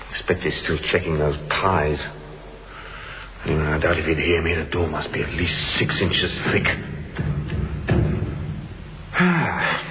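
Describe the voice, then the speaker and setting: Grumbly british bloke stuck alone, low voice, muttering away, muffled hissy radio play. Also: male voice. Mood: irritated, then uneasy, muttering. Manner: muttering to himself, halting, fussy. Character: grumbling man trapped alone in a cold store. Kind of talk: radio drama acting, muttered monologue. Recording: muffled, noisy old radio drama recording.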